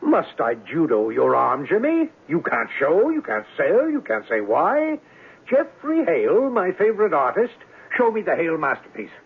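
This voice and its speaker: A man's voice: a little high, huge pitch swings, moderate pace, gravelly, slightly rough, heavily acted. A man playing a flamboyant, eccentric rich man of mystery in a 1970s radio drama, grandiose and exclamatory, boastful and theatrical. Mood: eager, insistent. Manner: grandiose, exclamatory, foreign phrases mixed into English. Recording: lo-fi noisy 1970s radio broadcast.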